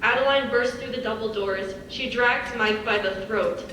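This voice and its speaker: A woman's voice: fairly high pitch, normal speed, a bit bright. A young woman reading stage directions as scripted narration for a live dramatic reading. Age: young adult. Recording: distant echoey hall, faint noise.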